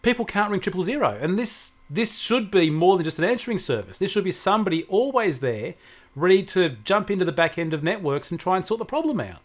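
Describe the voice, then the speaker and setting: An Australian man with a voice pitched a little high talks as a technology commentator on a regular show. He explains things conversationally and with emphasis, often stacking repeated phrases to make a point. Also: expressive, brisk.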